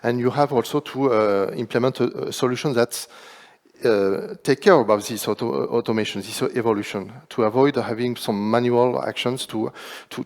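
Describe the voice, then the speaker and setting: Guy on a panel adding his bit, earnest, trips over little words. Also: medium pitch. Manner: earnest, repeats words as he goes. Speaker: panel speaker. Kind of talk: unscripted panel remark.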